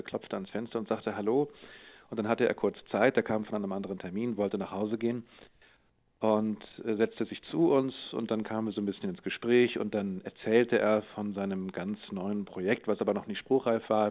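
A middle-aged man with a fairly low voice comes through a thin, phone-like line. He answers interview questions as a voice actor, in a conversational, storytelling way.

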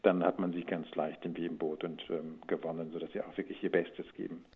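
A man's voice: sits low, flat delivery, brisk, breathy edge. A man giving casual interview answers in a searching way, often restarting and rephrasing. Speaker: audio drama producer and voice-over speaker. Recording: thin phone-like line.

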